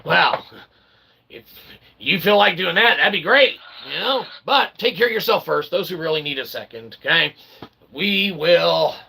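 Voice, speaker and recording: A man hosting his own channel in a rambling solo monologue, with raspy, mock-formal, wordy phrasing mixed with slang and frequent check-in tags. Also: a little high, animated, unhurried, sharp tone, slight background noise. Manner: repeatedly tags phrases with "okay" and "all right". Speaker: channel host.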